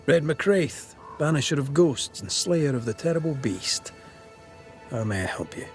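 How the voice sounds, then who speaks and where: Blunt male ghost hunter for a colonial game drama, played by an actor; wry, animated delivery, faint music behind. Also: mid-range voice, a little slow, lightly acted. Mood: businesslike. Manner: wry, direct, archaic phrasing.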